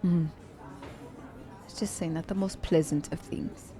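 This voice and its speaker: A woman's voice: low-pitched, steady tone, a little slow, mellow. A woman playing a poised, teasing female assassin in an ASMR romance roleplay, speaking formally and condescendingly to her captive werewolf. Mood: teasing, welcoming. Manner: formal, slightly stilted wording, teasing. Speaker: voice actor.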